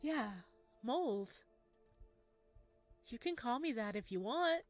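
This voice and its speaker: A woman voicing a lonely, eerie creature-man who speaks in a soft, childlike, pleading way in a visual novel game. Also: on the high side, animated, takes it slowly, dry recording, faint music behind, voice pushed up. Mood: resigned.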